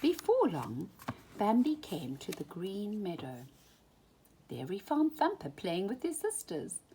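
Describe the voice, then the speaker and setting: A woman reading a children's story aloud in an animated, dramatic way, often dropping into hushed tones. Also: medium pitch, slow, a little hiss or hum. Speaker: storybook reader.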